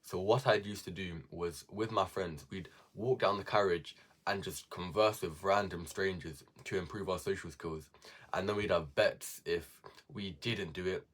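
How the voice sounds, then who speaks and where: A man's voice: sits low, normal speed. A young man giving casual self-improvement advice to viewers, repeating key words for emphasis.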